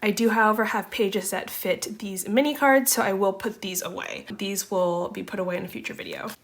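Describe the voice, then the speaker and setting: A woman's voice: mid-range voice, steady tone, a little fast. A young woman in casual chat while sorting photocards, chatty and rambling, with a slightly congested voice. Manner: chatty, rambling, often says um and like. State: slightly congested, recovering from laryngitis. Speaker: photocard collecting vlogger.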